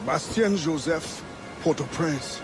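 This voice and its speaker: An actor playing a determined, commanding ship captain, a pirate turned assassin, in a dramatic video game. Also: male voice, a little high, takes it slowly, music in the background. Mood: grim, resolute. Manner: commanding, resolute.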